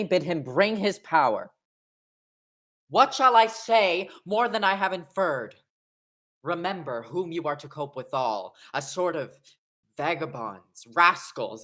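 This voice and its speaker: A woman's voice: fairly low pitch, fairly bright tone. A young woman playing a murderous, guilt-haunted king in a Shakespearean radio play, with theatrical, declamatory delivery. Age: young adult. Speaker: acting student.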